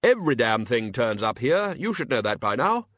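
A man's voice: mid-range voice, very animated, moderate pace, fairly bright tone, dry recording, clean studio sound. A man voicing a bossy, sarcastic older wizard who runs a university, blustering and emphatic, in a storybook reading. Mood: brisk, commanding.

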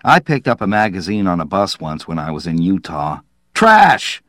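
A man's voice: deep voice, fairly quick, fairly bright tone, no echo, clean studio sound. Man acting an irritable, scornful writer, emphatically dismissive. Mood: scornful rant. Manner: emphatic, disgusted ranting.

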